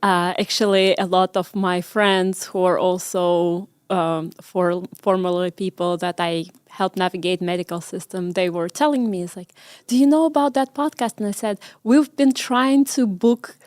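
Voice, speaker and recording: A woman in her forties from Russia with a Russian accent, telling her story as an interview guest with many pauses and ums. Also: lowish voice, fairly even intonation, a little mellow, dry recording, studio quality. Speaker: guest, medical researcher. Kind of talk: conversational interview.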